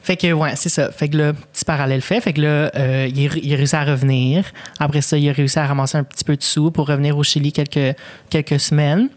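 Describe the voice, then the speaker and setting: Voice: medium pitch, fairly even intonation, fast talker. Young québécois accent, spanish native speaker, casual podcast chat, slangy, curses freely, mixes in english. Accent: Québécois French. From Quebec, Canada. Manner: slangy, swears casually, drops English words. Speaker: podcast co-host.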